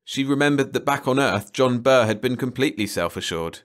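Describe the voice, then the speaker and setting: A man's voice: mid-range voice, lively intonation, fairly quick. A man reading a short story aloud as a scripted bedtime audiobook narration.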